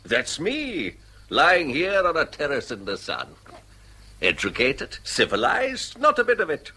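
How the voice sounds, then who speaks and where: An actor playing a jovial, self-mocking rich businessman in a radio play, blunt and expansive with a husky voice. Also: fairly high pitch, wildly swooping pitch, measured pace, pushed and bright, exaggerated voice. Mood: boisterous, self-mocking. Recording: radio drama recording.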